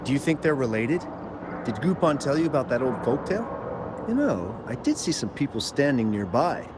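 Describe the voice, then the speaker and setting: Man acting an animated, chatty game miner. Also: mid-range voice, moderate pace, very noisy, close to an everyday voice. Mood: spooked, eager. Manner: animated, chatty, hesitant in places. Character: friendly excitable male miner, eager eyewitness. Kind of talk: video game story dialogue. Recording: music clearly behind the voice.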